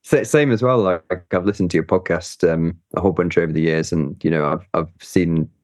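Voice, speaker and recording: A man's voice: sits low, a little fast, a little mellow, no echo, clean studio sound. A man being interviewed as a guest, chatty and hesitant, with lots of fillers and easy laughs. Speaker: guest climber. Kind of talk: casual interview chat.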